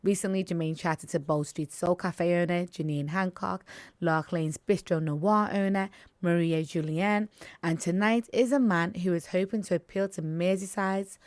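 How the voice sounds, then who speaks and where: A woman's voice: fairly low pitch, fairly even intonation, normal speed, darkish tone, no echo. A woman radio presenter introducing a feature in a playful, conversational way. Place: radio studio.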